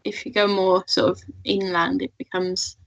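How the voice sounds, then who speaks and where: A woman, a toy customizer being interviewed, talking modestly with many pauses and little laughs. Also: medium pitch, flat delivery, creaky, no room sound. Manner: modest, hesitant, many ums, laughs often. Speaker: guest artist and toy customizer. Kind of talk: casual podcast interview. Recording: dull lo-fi sound.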